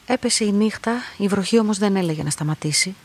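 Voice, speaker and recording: An actress playing a lonely, watchful young female lab clerk who narrates a radio drama, soft and husky. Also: mid-range voice, natural voice. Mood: watchful, introspective. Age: young adult.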